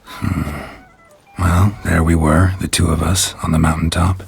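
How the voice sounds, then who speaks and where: A gruff middle-aged monster hunter from a fantasy game. His voice is very low and gravelly. He speaks in short, understated phrases with calm care, with faint music behind him. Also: unhurried, exaggerated voice. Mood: quiet, reassuring. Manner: laconic, understated. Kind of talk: video game voice acting.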